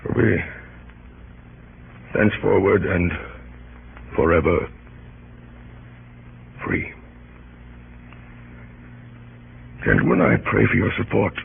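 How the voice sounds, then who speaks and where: Grave low husky wartime president, slow solemn speeches, muffled 30s radio drama recording. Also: male voice, slight background noise, heavily acted. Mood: grave oratory. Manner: solemn, measured, oratorical. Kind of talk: 1930s radio drama acting.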